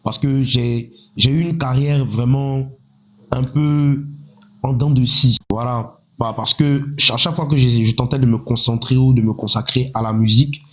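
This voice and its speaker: A man in his thirties from Côte d'Ivoire, a rapper speaking in a measured, self-assured way, often pausing on "voilà" and asking himself rhetorical questions. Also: mid-range voice, steady tone. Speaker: rapper, interview guest. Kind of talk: casual interview talk. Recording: slightly muffled, lo-fi sound.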